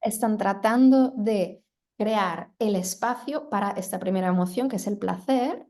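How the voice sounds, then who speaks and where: A woman's voice: mid-range voice, unhurried, warm tone. A woman moderating a video call, fluently summarizing a presentation. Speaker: moderator and interpreter. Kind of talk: quick Spanish summary of a presentation.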